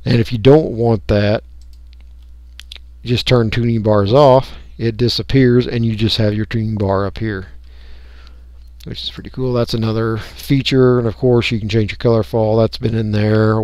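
An American man giving a casual, unscripted software walkthrough, folksy and rambling as he thinks aloud. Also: lowish voice, little pitch movement, normal speed, dry recording, studio quality. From United States. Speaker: radio hobbyist giving a software tutorial.

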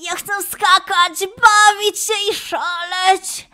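Woman voicing a high-pitched young cartoon lion. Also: little pitch movement, slow pace, bright, forceful tone, full character voice. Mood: delighted, excited. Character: young male lion, eager, self-doubting, playful.

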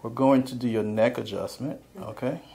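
A middle-aged man working as a chiropractor, calmly giving a patient hands-on instructions. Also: mid-range voice, moderate pace. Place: small chiropractic treatment room. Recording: clearly noisy room sound.

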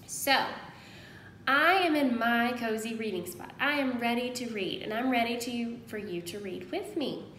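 A woman in her thirties, a kindergarten teacher giving an encouraging read-aloud lesson to young children, pausing after questions. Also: fairly bright tone. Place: indoors at home, small room. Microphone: phone at arm's length. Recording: roomy echo, faint noise and rumble.

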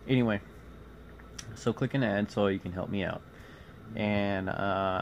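A man in his forties chatting casually to viewers in a halting, rambling vlog, recorded on a phone indoors. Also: on the low side. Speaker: vlogger. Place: small cluttered room indoors. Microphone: phone at arm's length. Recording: noisy phone recording, clear background noise.

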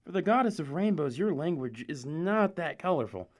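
A woman's voice: low voice, lively intonation, normal speed, darkish tone. A woman playing a snarky, flirty goddess narrator in an audio drama, teasing and sardonic. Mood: teasing, bored.

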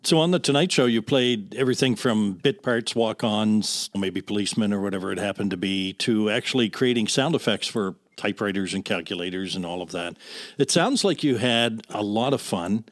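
A man's voice: lowish voice, normal speed, dry recording. A man hosting a podcast, putting conversational questions to a guest. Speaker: podcast host.

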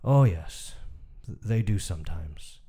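A man reading the part of a gentle doctor father, subdued as he reassures his young son in a literary story. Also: low-pitched, animated, takes it slowly, husky, voice pushed down, full character voice.

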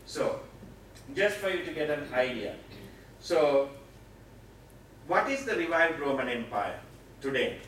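A middle-aged Sri Lankan man teaches a Bible session in a fairly high voice, with an emphatic, explanatory delivery. He speaks in a very reverberant meeting room, picked up from a distance with some faint noise. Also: big pitch swings, a little slow, pauses often, fairly bright tone. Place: meeting room with seated audience, indoors. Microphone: far camera, speaker at a mic stand. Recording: very echoey room, distant pickup, noisy.

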